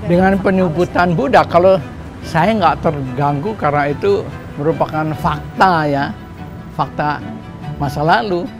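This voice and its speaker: A man's voice: on the high side, unhurried, music in the background. Older man, hesitant and conversational. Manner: hesitant, uses fillers like apa. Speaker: interviewee. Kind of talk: conversational interview. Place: indoor home.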